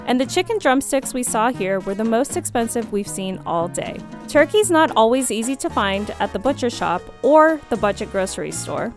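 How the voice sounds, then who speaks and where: A woman giving scripted voice-over narration for her vlog, in a clear, informative, upbeat manner. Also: a little high, very animated, normal speed, hardly any pauses. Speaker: vlog host. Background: faint background music.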